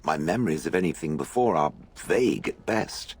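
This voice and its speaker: Deep, husky, sardonic stone golem. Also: male voice, animated, normal speed, exaggerated voice. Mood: pensive, puzzled. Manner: dry, sarcastic, formal diction. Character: towering sardonic stone golem, long-captive, haughty and world-weary. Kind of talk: video game character acting.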